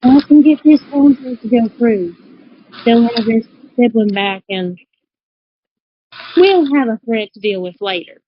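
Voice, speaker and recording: A woman's voice: on the high side, animated, unhurried, long pauses, husky, no room sound. Woman playing a folksy, worldly dealmaker, dry close sound. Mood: firm, guarded. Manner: drawling, folksy, calls people baby. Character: worldly, shrewd woman dealmaker in disguise, protective of children. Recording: dry, slightly dull voice-chat audio.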